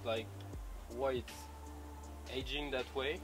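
A man's voice: mid-range voice, fairly even intonation, slow, fairly bright tone, faint music behind. A man interviewing a water skier outdoors on a lakeside dock, asking short, hesitant questions. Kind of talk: casual interview.

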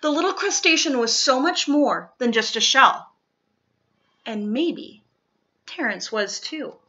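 A woman reads a children's picture book aloud with expressive, deliberate dramatic emphasis, recorded in a living room. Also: high voice, muffled recording. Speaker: storytime reader.

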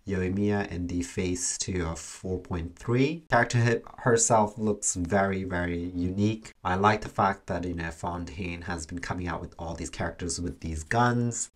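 A young man giving casual reaction commentary as the host of a video. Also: low-pitched.

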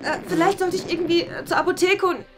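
A woman's voice: very high-pitched, steady tone, quick pace. A woman playing Leila, a naive, anxious schoolgirl who hesitates and frets with lots of fillers. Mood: panicked. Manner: hesitant, full of fillers and worry. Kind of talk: comedy web series acting. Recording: music behind.

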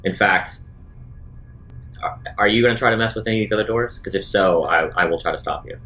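A man's voice: fairly low pitch, fast talker, bright, forceful tone. A man playing a tabletop roleplaying game, talking casually as he describes moves and asks questions.

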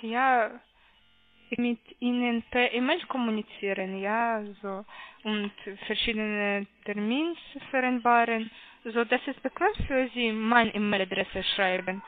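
A woman with a foreign accent making a halting sales phone call, hesitant and careful as she spells things out. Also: fairly high pitch. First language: not German. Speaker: call centre caller. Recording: muffled, narrow phone line.

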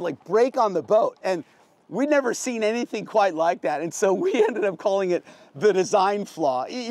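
A man's voice: sits high, dry recording. A middle-aged American man giving a casual, unscripted boat tour in a plain-spoken, folksy, explanatory way, like a veteran sailor.

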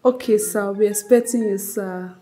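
A woman's voice: mid-range voice, moderate pace, warm tone, lightly acted. A woman playing a polite young health centre worker in a comedy skit. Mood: courteous. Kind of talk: comedy skit acting, customer call.

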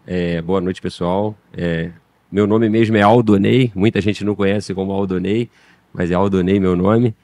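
A man's voice: deep voice, moderate pace, dry recording. A middle-aged man from Minas Gerais with a slight Minas Gerais accent, a podcast guest who owns a bike shop, chatting casually with hesitant restarts. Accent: slight Minas Gerais Brazilian Portuguese. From Minas Gerais, Brazil. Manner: relaxed, hesitant, many restarts. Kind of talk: casual interview chat.

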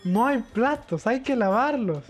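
A man's voice: very high-pitched, expressive, measured pace. A young man with a Salvadoran accent bantering casually while gaming, bossy and teasing. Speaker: gamer. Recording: game music behind voice. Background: video game music.

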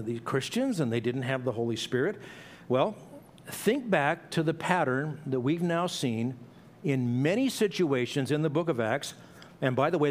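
A middle-aged man preaching an expository sermon in a church, explaining a passage in a conversational way with asides and small restarts. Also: mid-range voice. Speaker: pastor. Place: church sanctuary.

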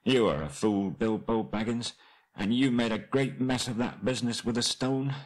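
Man voicing a plaintive little hobbit, pitched up. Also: moderate pace, close to an everyday voice. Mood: mournful, self-reproachful. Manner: plaintive, polite, old-fashioned phrasing. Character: small, good-natured hobbit adventurer, rueful and earnest.

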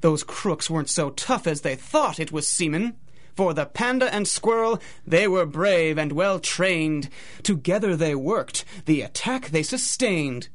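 A man narrating a radio drama in theatrical, sing-song rhyming storybook verse. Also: high-pitched.

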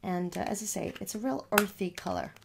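A young adult woman doing a soft, reassuring ASMR makeup store roleplay, chatting casually and repeating herself as a shopkeeper. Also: mid-range voice, a little fast, creaky, noisy background. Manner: reassuring, repetitive, conversational. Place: small room at home.

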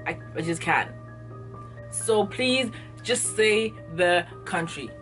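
A woman's voice: medium pitch, lively intonation, slow pace, fairly bright tone, faint music behind. A young woman from Ghana, talking to the camera in an earnest, emphatic way and repeating herself for stress, recorded close up in a small room. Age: young adult. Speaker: vlogger. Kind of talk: passionate direct-to-camera monologue. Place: small indoor room, plain wall. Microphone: camera in front at close range.

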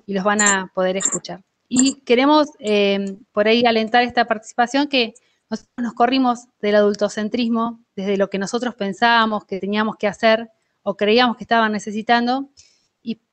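A woman in her thirties with an Argentine accent, giving an informal presentation over a video call. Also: mid-range voice. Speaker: education programme presenter. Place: indoor room at home.

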